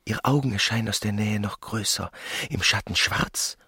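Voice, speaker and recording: An actor playing a tender, besotted young newlywed husband in a German literary radio drama. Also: male voice, lowish voice, moderate pace, bright, forceful tone, slightly rough, no echo, clean studio sound, exaggerated voice. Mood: tender reverie.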